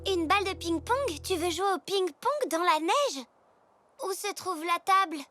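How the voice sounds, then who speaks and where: Chirpy, very high little girl zebra. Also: animated, unhurried, sharp tone, exaggerated voice. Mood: curious. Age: child character. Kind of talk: children's cartoon dialogue. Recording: music behind the voice.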